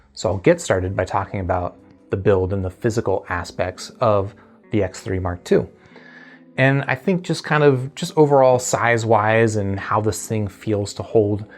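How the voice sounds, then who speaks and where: Low-voiced man reviewing gadgets over music. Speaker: product reviewer, video host. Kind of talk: casual unboxing and review talk.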